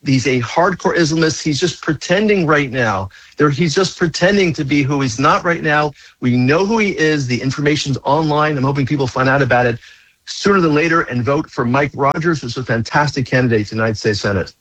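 Husky-voiced rabbi, urgent and insistent. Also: male voice, fairly high pitch, fairly quick, a bit bright, no echo, poor audio. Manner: urgent, insistent, repeats warnings. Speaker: rabbi, guest. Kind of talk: talk radio interview.

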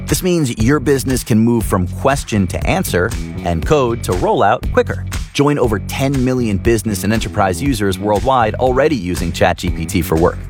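A man reading a smooth, polished corporate ad. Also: fairly low pitch. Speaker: ad announcer. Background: guitar music.

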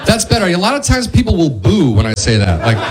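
A man in his thirties doing stand-up on stage, self-mocking and acting out quick voices. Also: high-pitched, huge pitch swings. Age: 30s. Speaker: stand-up comedian. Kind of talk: stand-up comedy set. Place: comedy club stage before a live audience. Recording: live audience crowd noise.

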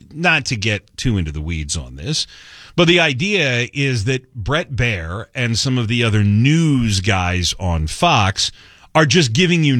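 Male radio talk host, sarcastic monologue, lively swooping pitch, dry close studio sound. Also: dry recording. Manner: sarcastic, mocking quotes. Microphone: studio mic.

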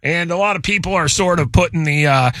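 A man hosting morning radio with a bright, slightly high voice. He talks in a casual, jokey way with quick asides. The studio sound is dry and close. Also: dry recording. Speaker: radio morning show host. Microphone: studio microphone.

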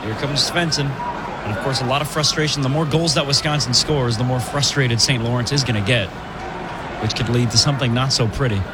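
A man calling live women's college hockey play-by-play, brisk and chatty, laughing easily between plays. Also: medium pitch, background noise. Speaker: play-by-play commentator.

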